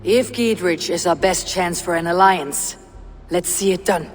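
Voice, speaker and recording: A woman's voice: on the low side, unhurried. An actress playing a level-headed, sceptical Viking warrior woman in a video game, questioning and blunt. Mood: dry, resolved. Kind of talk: video game cutscene acting. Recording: long hall reverb, music behind.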